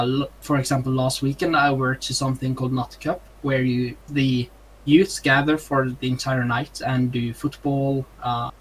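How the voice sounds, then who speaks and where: A man's voice: mid-range voice, a little hiss or hum, low-quality recording. A young man with a Norwegian accent, answering interview questions in casual, hesitant English with many ums and uhs. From Norway. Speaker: streamer, interview guest.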